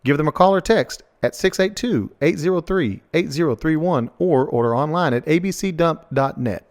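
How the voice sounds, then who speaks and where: A man's voice: fairly high pitch, animated, moderate pace. A man announcing a scripted advertisement in a promotional read.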